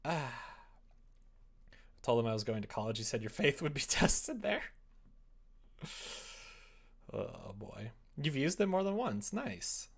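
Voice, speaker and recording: Animated young American man chatting on stream. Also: medium pitch, measured pace, gravelly, slightly rough. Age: young adult. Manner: rambling, expressive, reacting to chat comments. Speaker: live streamer. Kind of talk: casual livestream chat. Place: indoor room at a desk.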